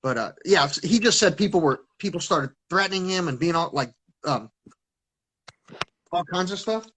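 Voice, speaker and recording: Stuttering man, fairly high voice. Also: lively intonation, normal speed, a bit bright, husky. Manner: stutters, many restarts and repeated words. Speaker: interview guest. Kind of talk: casual video-call conversation. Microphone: video call.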